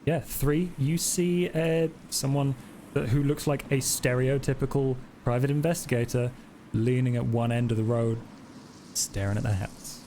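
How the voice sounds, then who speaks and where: A man improvising narration as game master of a tabletop roleplaying game, in a halting, descriptive way. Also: medium pitch, fairly even intonation, warm tone, a little hiss or hum.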